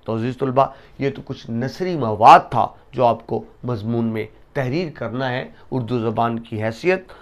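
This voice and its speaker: A man giving a lesson to students, explaining essay writing and reciting couplets slowly with emphasis. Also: medium pitch. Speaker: teacher.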